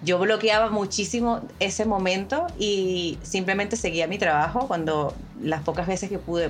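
A young Venezuelan woman talks in a relaxed panel discussion. She often stops and restarts her sentences, feeling her way through each thought. Faint music plays underneath her voice. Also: lowish voice. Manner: hesitant, many restarts and fillers. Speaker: panel guest.

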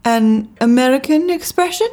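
A British woman spy in an audio drama. Her voice sits on the high side. She is brisk and impeccably formal, with genteel manners that carry clear condescension. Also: lively intonation, warm tone. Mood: prim politeness.

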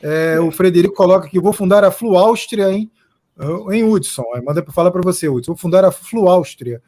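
A man's voice: high-pitched, brisk, dark tone. A Brazilian man hosting a casual live stream, chatting and reading out viewer comments.

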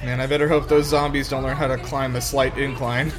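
A man's voice: medium pitch, level tone, brisk. A man giving casual, wry, joking commentary as he reacts to a film. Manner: wry, mocking. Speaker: reaction show host. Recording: film soundtrack music behind. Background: film being watched, music.

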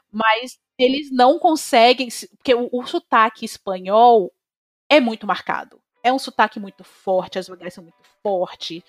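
Animated Brazilian woman chef, opinionated. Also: a little high, unhurried, no echo, soft background music. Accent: Brazilian Portuguese. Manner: animated, opinionated, rising sharply when emphatic. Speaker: chef, guest. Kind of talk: casual conversation.

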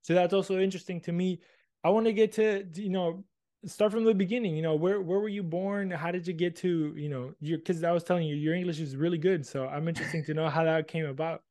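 A man's voice: a little high, fairly even intonation, fast, mellow. A man hosting an interview podcast, asking long rambling questions full of restarts and fillers. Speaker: podcast host.

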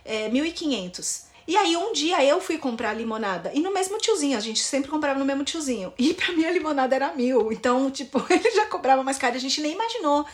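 A young woman from São Paulo with a São Paulo accent, telling relaxed travel stories as a podcast guest and laughing easily. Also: high-pitched, quick pace, hardly any pauses. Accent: Brazilian Portuguese, São Paulo. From São Paulo, Brazil.

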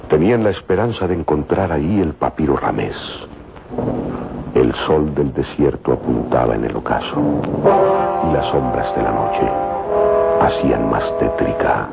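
Super deep gravelly guy narrating old radio drama, solemn and theatrical, tinny sound, loud music under it. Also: lively intonation, a little slow, long pauses.

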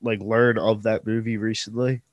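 A man's voice: lowish voice, little pitch movement, normal speed, lo-fi sound. A young man with a stuffed-up, nasal voice, co-hosting a casual podcast and reading out history trivia in a rambling way. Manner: rambling, many fillers and restarts. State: stuffed-up nose. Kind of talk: casual sports podcast chat.

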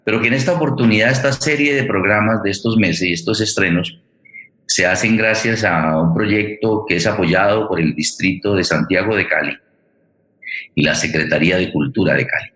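A man, speaking formally and haltingly as he welcomes listeners and introduces an online radio theatre programme. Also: deep voice, fairly bright tone. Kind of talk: spoken introduction to a live online forum. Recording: roomy, echoing sound.

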